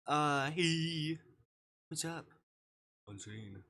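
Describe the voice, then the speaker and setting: A man's voice: fairly high pitch, animated, drawn-out words, bright, forceful tone. A man livestreaming a game casually, reading visual novel dialogue aloud with offhand, murmured asides. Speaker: streamer. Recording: clear background noise.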